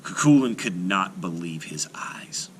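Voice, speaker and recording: Male children's storyteller: mid-pitched, very lively narration, slight background noise. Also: unhurried. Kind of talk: expressive read-aloud folktale narration for children.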